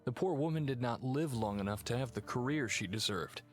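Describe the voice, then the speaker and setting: An actor playing an earnest, troubled young gentleman heir, reflective and formal, in a video game. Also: medium pitch, animated, fairly quick, close to an everyday voice. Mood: somber, reflective. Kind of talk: video game cutscene voice acting.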